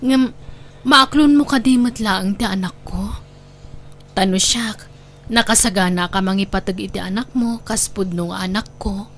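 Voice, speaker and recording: A woman with an Ilocano accent playing a gentle, affectionate middle-aged wife and mother in a sentimental audio drama. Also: mid-range voice, moderate pace, slight background noise, natural voice. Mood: tender, hesitant. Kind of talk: Ilocano radio-style audio drama acting.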